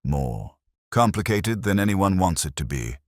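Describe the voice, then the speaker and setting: A man voicing a mild, dry-witted police inspector who speaks in a calm, measured, wry way, recorded close on a studio mic. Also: low-pitched, no echo, exaggerated voice.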